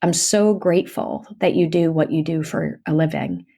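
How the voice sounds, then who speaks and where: Animated woman, somewhat low voice. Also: normal speed, vocal fry. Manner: animated storyteller, lively swings, laughs often. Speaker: guest, audiobook narrator. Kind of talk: casual interview chat.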